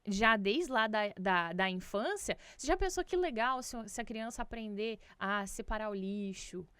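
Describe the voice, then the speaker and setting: A woman's voice: mid-range voice, expressive, moderate pace, fairly bright tone, clean tone, dry recording, clean studio sound. A woman with a Brazilian accent speaking as an interview guest, casually and emphatically giving opinions and checking in with tag questions. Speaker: podcast guest, doctor.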